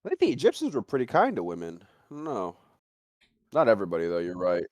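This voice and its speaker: Male stream host, lowish pitch, dry sound, rambling conversational interviewer who rephrases as he talks. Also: huge pitch swings, quick pace, no echo. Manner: rambling, circling back to rephrase questions. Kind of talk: casual chat, interviewing a guest.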